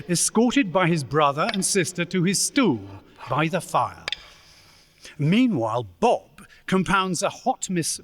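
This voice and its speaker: A man playing a storyteller, narrating a Victorian tale with theatrical, deliberate delivery in an echoey church. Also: high-pitched, a bit bright, full character voice. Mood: measured. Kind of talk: dramatic scripted narration. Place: church, live performance. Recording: echoey church hall, long reverb.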